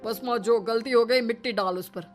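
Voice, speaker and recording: A woman's voice: a little high, brisk, natural voice. A woman voicing a stubborn, traditional middle-aged father in a moral story cartoon, complaining plainly. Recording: background music.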